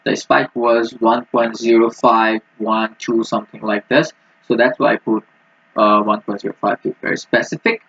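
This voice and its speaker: A man giving a technical walkthrough as a student presenter, speaking in a halting, hesitant way. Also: fairly low pitch, fairly even intonation, fairly bright tone, breathy edge. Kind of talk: technical screen-recording walkthrough. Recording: muffled, roomy lo-fi microphone.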